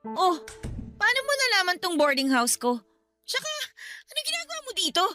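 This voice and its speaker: An actress playing a proud, hurt younger sister in a radio drama, speaking in an accusing, sarcastic manner. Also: female voice, very high-pitched, huge pitch swings, moderate pace, sharp tone, soft background music, exaggerated voice. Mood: guarded, evasive. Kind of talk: Tagalog radio drama acting.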